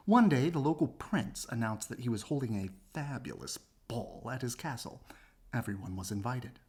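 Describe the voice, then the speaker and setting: A woman reading a children's story aloud in an animated, husky narrating voice. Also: extremely low pitch, normal speed. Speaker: storybook reader.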